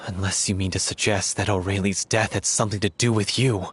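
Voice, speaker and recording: A man's voice: sits low, fairly even intonation, fairly quick, a little hiss or hum, lightly acted. A man playing an intense, righteous stage actor in a dramatic video game, solemn and emphatic in his confrontational delivery. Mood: solemn, resolute.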